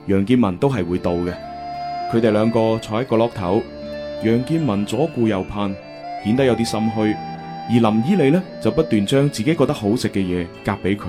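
A man with a Cantonese accent, hosting and narrating a radio drama in an expressive, conversational way. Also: on the low side. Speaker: radio host and storyteller. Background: music.

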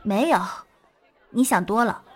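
A woman playing a quietly heartbroken, guarded teenage schoolgirl in a modern audio drama, soft-spoken and reflective. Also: on the high side, expressive, measured pace, long reverb tail, some chatter behind. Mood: guarded, dismissive. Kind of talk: modern Chinese audio drama acting with inner monologue. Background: music.

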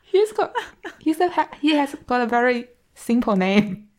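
A woman's voice: on the high side, sing-song, normal speed, darkish tone. A woman in a casual film discussion, speaking as a panelist with thoughtful, hesitant phrasing.

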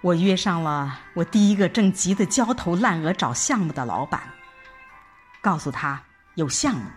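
A woman reading a scripted first-person audio drama narration in an expressive, reflective storytelling manner. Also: lowish voice, normal speed. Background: background music.

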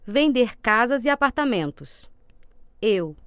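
Smooth, unhurried woman instructor. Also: on the high side, very animated, a bit bright, dry recording. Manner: measured, deliberate enunciation. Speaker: language course instructor giving drill prompts. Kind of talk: scripted language drill narration.

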